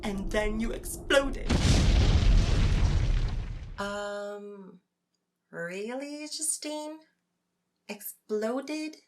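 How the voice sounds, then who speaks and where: A woman performing a scripted, conversational debate for an audio drama podcast, with an expressive, theatrical delivery. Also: mid-range voice, drawn-out words, pauses often, background noise. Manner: theatrical, expressive, asks rhetorical questions. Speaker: podcast host performing both sides of a scripted dialogue.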